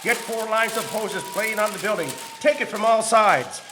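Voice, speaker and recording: Commanding male fire chief, radio drama, high, tense voice man acting. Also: normal speed, pushed and bright, slight background noise. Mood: urgent, commanding. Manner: barking orders.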